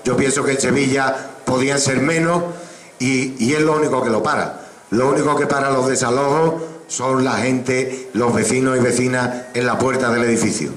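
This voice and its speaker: A man speaking at a public assembly, deliberate and repeating words as he builds his points. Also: medium pitch, steady tone, reverberant.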